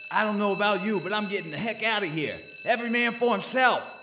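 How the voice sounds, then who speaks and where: Actor as bossy male crook, very echoey, brusque, conceited, slightly high voice, grumpy audio theater. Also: lively intonation, quick pace, background noise. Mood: alarmed, angry. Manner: brusque, exasperated. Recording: very echoey, music underneath.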